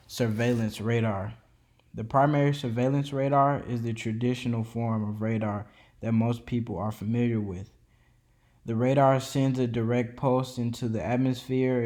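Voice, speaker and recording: A man narrates an educational presentation in a level, mellow voice that barely rises or falls. He reads steadily from a script and now and then trips over a word and starts it again. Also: mid-range voice. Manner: reads steadily from a script, with small slips and restarts. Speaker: student presenter. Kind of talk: read-aloud educational narration.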